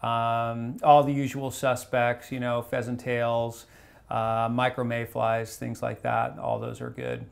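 A man in his forties giving a casual fishing report to camera in a small wood-paneled room, relaxed and rambling with lots of fillers and restarts. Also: medium pitch, slow pace, gravelly. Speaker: fly shop fishing reporter.

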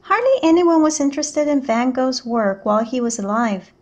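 High-voiced woman reading a children's book. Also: animated, moderate pace. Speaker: teacher reading a picture book aloud. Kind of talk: children's read-aloud narration.

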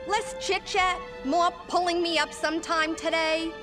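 A woman's voice: extremely high pitch, steady tone, measured pace. A woman voicing a perky, bossy pink girl ghost in a cartoon game, sassy and impatient. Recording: game music behind voice.

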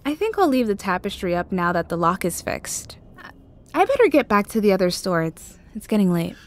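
A woman acting in an audio drama as a kind, excitable shop owner with a secret, speaking expressively. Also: medium pitch, fairly quick, close to an everyday voice. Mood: hesitant.

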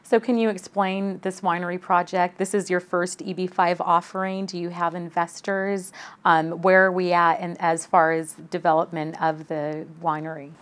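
Woman host with a lowish voice. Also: flat delivery. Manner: polite, scripted intro then brisk questions. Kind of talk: interview host questions. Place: office, indoors. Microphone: lapel mic.

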